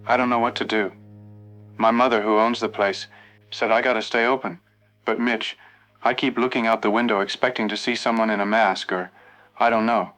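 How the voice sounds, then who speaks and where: A man's voice: medium pitch, fairly bright tone, lightly acted, rough recording. A man playing a jittery night-shift motel clerk on the phone. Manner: anxious, hesitant, trailing off. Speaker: caller.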